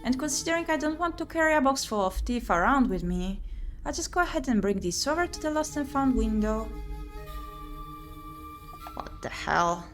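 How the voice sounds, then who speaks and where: A woman doing let's-play commentary, reading game narration aloud and muttering and exclaiming to herself in an expressive way. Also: high-pitched, normal speed, pauses often. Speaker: streamer playing and reading a visual novel. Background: music.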